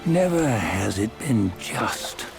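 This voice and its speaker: A stern old sage from a video game, passing judgment with grave disapproval. The delivery is slow and deliberate, with a gravelly creak, over clear background music. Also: male voice, lowish voice, very animated, exaggerated voice. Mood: solemn, philosophical. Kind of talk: scripted video game cutscene dialogue.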